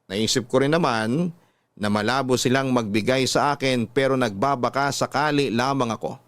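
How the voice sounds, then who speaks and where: A regretful Filipino working man, voiced by an actor confides his troubles in radio-drama style. His slightly low voice is earnest and confessional, in a very dry recording. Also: normal speed, dry recording, lightly acted. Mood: regretful, confiding. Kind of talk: dramatized first-person radio storytelling.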